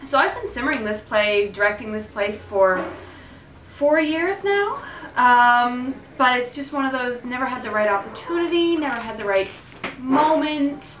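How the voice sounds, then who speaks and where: A woman's voice: sits high, animated, moderate pace, fairly bright tone, a little hiss or hum. A woman theatre director talking enthusiastically and off the cuff in an interview. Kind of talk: unscripted interview talk. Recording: roomy echo, distant microphone.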